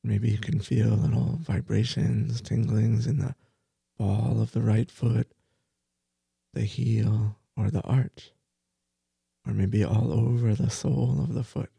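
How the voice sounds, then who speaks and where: Male meditation teacher, very slow and soothing, dark husky tone, guides a bedtime body scan. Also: fairly low pitch, flat delivery, no room sound. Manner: slow, soft, soothing guidance with repeated phrases.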